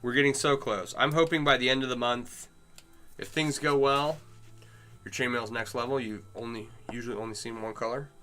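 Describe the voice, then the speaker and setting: A man's voice: mid-range voice, fairly even intonation, moderate pace, bright, forceful tone, soft background music. A middle-aged man chatting casually on a livestream while he works on chainmail, greeting and answering his viewers. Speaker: chainmail maker streaming live.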